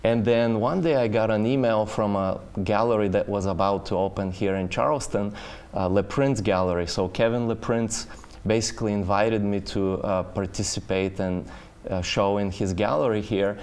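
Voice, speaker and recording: Brief: middle-aged man from Bulgaria, guest painter, low smooth voice, halting with fillers. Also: steady tone, darkish tone. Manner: halting, with many fillers and restarts. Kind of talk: casual interview talk.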